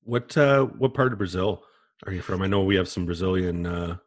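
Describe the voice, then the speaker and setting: A man's voice: sits low, normal speed, no room sound, clean studio sound. A man hosting a podcast in a casual interview, friendly and full of hesitant fillers. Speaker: podcast host.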